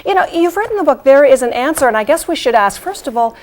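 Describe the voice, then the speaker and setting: A woman's voice: high-pitched, expressive, fast. A woman hosting a daytime TV talk show in a studio, bubbly and upbeat as she interviews a guest.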